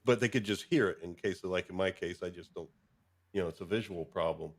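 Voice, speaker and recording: A man's voice: low voice, fast. A man hosting a casual talk show, chatting in a rambling way as he thinks aloud. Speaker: host of a fan fiction show. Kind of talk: casual chat.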